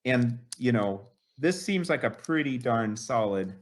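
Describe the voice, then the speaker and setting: A man in his late fifties with a mid-pitched, ordinary voice talks eagerly as a guest. He rambles, piles up fillers and restarts his sentences as he gets excited. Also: a little slow. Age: 50s. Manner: enthusiastic, rambling, many fillers like "you know". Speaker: guest collector. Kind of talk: casual interview chat.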